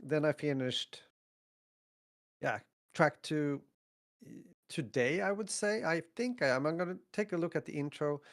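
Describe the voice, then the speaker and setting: A man's voice: a little high, lively intonation, measured pace. A man co-hosting a podcast in casual chat, talking hesitantly and repeating words as he goes. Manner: hesitant, repeats words, stacks "you know" and "I mean". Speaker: podcast co-host.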